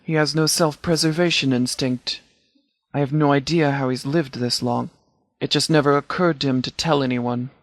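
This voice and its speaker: A man voicing a composed video game law officer who speaks formally and precisely, with a dry, deadpan manner. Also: medium pitch, normal speed, no echo, close to an everyday voice. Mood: measured, dry. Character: composed, formal male sheriff's department commander, strategic and dry. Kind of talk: video game dialogue voice lines.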